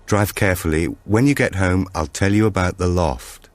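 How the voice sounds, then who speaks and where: A man's voice: low voice, moderate pace, no echo, close to an everyday voice. Male narrator as a casual, affectionate young wife in thriller audiobook, light delivery, faintly secretive, dry recording. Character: married mother, casual, affectionate, a little secretive.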